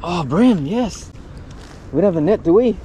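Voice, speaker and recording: A young man commentating his own fishing live from an outdoor wharf at night, chatty and loudly excited when fish bite. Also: high-pitched, moderate pace. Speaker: fishing vlogger. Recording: outdoor night recording with background noise.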